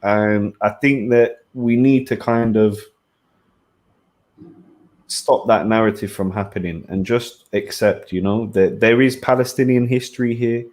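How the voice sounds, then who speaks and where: A young man speaking earnestly and at a measured pace in a casual podcast discussion over a video call. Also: on the low side, fairly even intonation, darkish tone. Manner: measured, earnest, repeats words. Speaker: podcast panelist.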